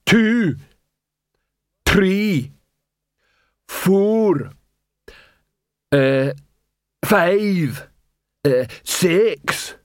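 A man voicing a big, dim but earnest male troll watchman, blunt, with broken grammar and a halting, laboured delivery. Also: high voice, expressive, no room sound, clean studio sound, pitched above the performer's own voice, heavily acted. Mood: halting, counting.

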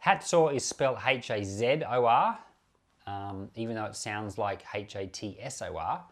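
A man's voice: fairly low pitch, moderate pace. A man speaking as a pastor on a Bible podcast, explaining scripture in an informal, unscripted way with self-corrections and asides. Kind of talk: informal Bible commentary, teaching.

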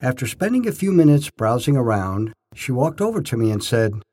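An older man narrating his own scripted first-person stories in short, measured phrases with a storyteller's emphasis. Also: mid-range voice, big pitch swings, mellow, slightly rough.

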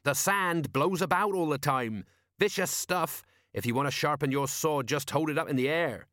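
Man performing male seafarer, blunt, wry, scornful, lifted pitch, audiobook character. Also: normal speed, bright, forceful tone, no room sound, studio quality.

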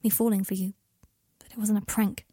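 Lady doing lovesick young guy, sorry and pleading, husky voice, dry sound, audiobook story. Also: mid-range voice, level tone, brisk, warm tone, no room sound, lightly acted. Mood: earnest. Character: Harry, lovesick, pleading, remorseful young man.

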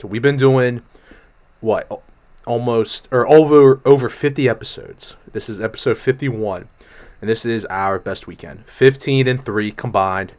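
A man hosting a casual sports betting podcast, hoarse from a cold, talking with energy and swearing freely. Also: medium pitch. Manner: energetic, profane, jumps between topics. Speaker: podcast host.

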